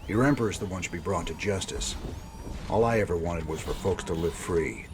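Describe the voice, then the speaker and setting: An actor playing an earnest, weary but determined rebel commander in a video game. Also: male voice, deep voice, brisk, background noise. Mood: firm.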